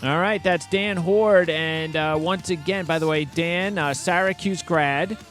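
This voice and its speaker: A man with a fairly high voice hosts a sports radio show. He talks casually and loosely, doubling back on his words and rambling from point to point, with music playing behind him. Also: fairly even intonation, a bit bright. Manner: rambling, halting, repeats words. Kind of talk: casual interview and show patter.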